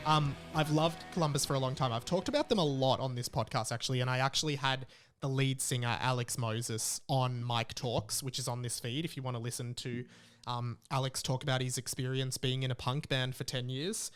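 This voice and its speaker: A man's voice: medium pitch, steady tone, fairly quick, a bit bright, clear, resonant tone. A young man with an Australian accent hosts a podcast solo, talking in a casual, candid and rambling way. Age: young adult. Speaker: podcast host.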